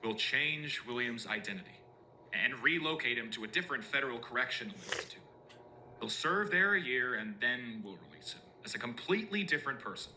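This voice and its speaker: A man acts out a smug, self-assured official in an analog horror drama. His voice is very bright and forceful, swinging animatedly as he persuades with theatrical, deliberate emphasis. Faint noise sits behind him. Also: mid-range voice, poor audio. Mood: measured, decisive.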